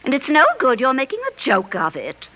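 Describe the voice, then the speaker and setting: Sharp, high-voiced, nagging wife. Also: female voice, wildly swooping pitch, moderate pace, heavily acted. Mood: scornful. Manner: imperious, scolding, dismissive. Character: bossy, nagging middle-aged wife, brisk and scornful. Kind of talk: 1940s radio drama acting. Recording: 1940s radio broadcast.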